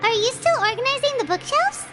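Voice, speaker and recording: A woman voicing a tiny floating female companion creature who is chatty and excitable, with a high squeaky voice and a habit of speaking of herself in the third person. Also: brisk. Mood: pushy. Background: game music.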